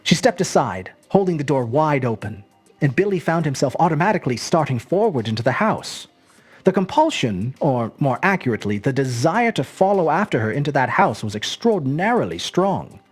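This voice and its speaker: A storyteller narrating a scripted short story in an expressive, measured, dramatic manner. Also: medium pitch, husky, faint music behind. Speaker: storyteller, host.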